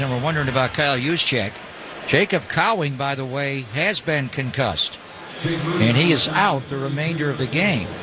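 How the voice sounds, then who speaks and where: An American man calling live football on the radio as play-by-play announcer, in clipped, descriptive calls. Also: fairly high pitch. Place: stadium broadcast booth. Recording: live radio feed with loud stadium noise.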